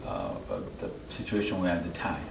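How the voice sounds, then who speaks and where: A middle-aged man from Haiti giving a personal testimony as an interview guest, halting and searching for his words. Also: extremely low pitch, sing-song. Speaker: interview guest, church member. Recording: echoey, distant mic, clear background noise.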